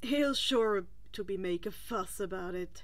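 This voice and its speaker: Lady doing a tired grown guy, hero teacher, weary n unimpressed, flat delivery, audiobook style. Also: medium pitch, measured pace, natural voice. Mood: weary, dismissive. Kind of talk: fan fiction character reading.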